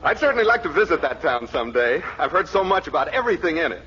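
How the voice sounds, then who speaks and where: An actor playing a vain, gullible, starstruck drama critic, self-important and fawning, in 1940s radio comedy. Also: male voice, fairly high pitch, big pitch swings, quick pace, bright, forceful tone, dull audio. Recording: noisy, crackly, band-limited 1940s radio.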